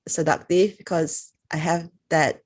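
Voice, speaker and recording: Singaporean-accented woman, lower register, relaxed talky reviewer. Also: a little slow. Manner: chatty, reads passages aloud with little laughs. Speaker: product reviewer. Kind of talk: casual talk-to-camera review.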